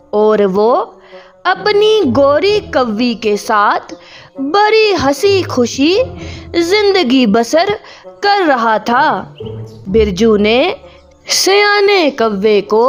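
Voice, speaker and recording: High, very slow, animated woman storyteller. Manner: deliberate, phrase-by-phrase storytelling. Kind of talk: scripted children's cartoon narration.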